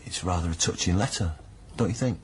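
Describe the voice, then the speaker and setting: An actor playing an earnest, concerned partner in naturalistic radio drama dialogue, speaking gently. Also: male voice, deep voice, brisk, a little hiss or hum, lightly acted. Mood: gentle. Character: earnest, concerned male partner of a troubled woman.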